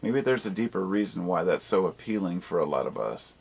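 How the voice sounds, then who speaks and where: Low, husky man musing casually. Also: expressive, a little fast. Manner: candid, casually profane; reflective, self-questioning. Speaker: video blogger. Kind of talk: rambling personal monologue, casual chat.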